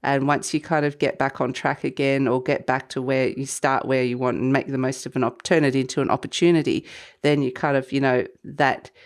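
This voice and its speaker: A woman with a slightly croaky voice from a cold, giving a weekly tarot reading to camera in a meandering, reflective way. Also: a bass voice, fairly even intonation, a little fast. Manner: meandering, reflective, intuitive musing. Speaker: tarot reader.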